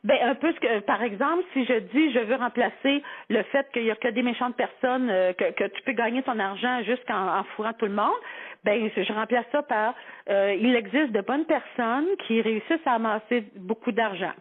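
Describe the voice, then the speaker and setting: A woman's voice: a little high, moderate pace, husky. A woman with a Quebec French accent giving a conversational psychology segment on the radio, explaining things informally with everyday examples and imagined quotes. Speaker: psychology columnist on a radio show. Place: radio broadcast. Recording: tinny, phone-like band-limited radio audio.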